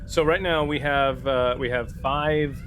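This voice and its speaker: A man's voice: medium pitch, fairly even intonation, measured pace, a bit bright, slight background noise. A man in casual game-table discussion, talking as a player and tentatively thinking aloud.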